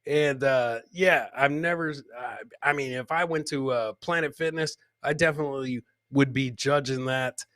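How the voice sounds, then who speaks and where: A man's voice: mid-range voice, moderate pace, few pauses, a bit bright, dry recording, studio quality. A man hosting a live comedy clip show, joking and teasing as he comments on videos and repeats his punchlines.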